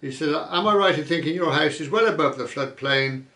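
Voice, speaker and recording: A man hosting a vlog, reading viewer comments aloud and musing on them in an easygoing way, often pausing with "uh". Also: on the high side, pushed and bright, reverberant. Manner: chatty, musing aloud, many "uh" fillers. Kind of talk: casual chat.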